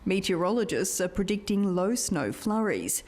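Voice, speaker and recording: A woman's voice: medium pitch, very animated, moderate pace, darkish tone. A woman with an Australian accent narrating a scripted news report as a reporter.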